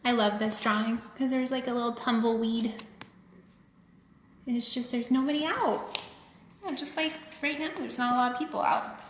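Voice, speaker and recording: A woman reading a picture book aloud to children, with expressive, simple phrasing and chatty asides. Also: high voice. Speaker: children's author reading her own book. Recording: echoey, reverberant room.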